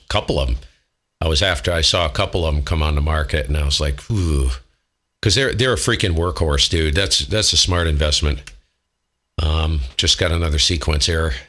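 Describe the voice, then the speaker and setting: A man's voice: very deep voice, a bit bright. A man chatting casually as an amateur radio operator, in a blunt, slangy way. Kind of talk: casual ham radio chat.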